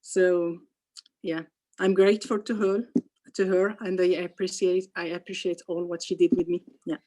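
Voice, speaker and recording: Interview guest, woman, first language Arabic, low-pitched, hesitant and full of restarts, video call. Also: little pitch movement, warm tone, low-quality recording. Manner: halting, many restarts and fillers. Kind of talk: casual interview conversation.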